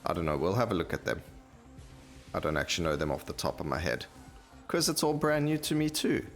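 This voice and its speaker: A man's voice: low voice, big pitch swings, brisk. A man giving casual, unscripted commentary as he plays a video game. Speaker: gaming video host. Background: game music.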